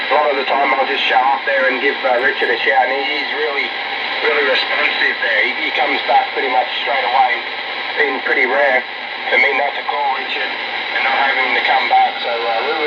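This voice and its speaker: Australian man over a very noisy radio. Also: medium pitch. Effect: two-way radio transmission. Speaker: amateur CB radio operator. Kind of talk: casual radio contact chat. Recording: dull, very noisy radio reception.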